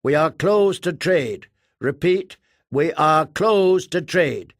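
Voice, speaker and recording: A man's voice: a little high, animated, slow pace, no room sound, clean studio sound, pitched above the performer's own voice. A man voicing a stern, officious female traffic controller who repeats clipped official demands.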